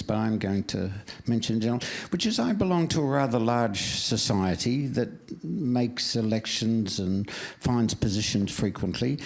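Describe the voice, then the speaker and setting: A man's voice: medium pitch, creaky, a little mellow. A man in the audience asking a question in a wry, self-deprecating way. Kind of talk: question from the floor.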